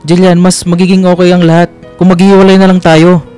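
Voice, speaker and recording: An actor playing a cold, resolute young boyfriend in a radio drama, speaking firmly and with restraint. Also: male voice, high-pitched, fast, clear, resonant tone, close to an everyday voice. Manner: flat, firm, restrained. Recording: music bed under the voice.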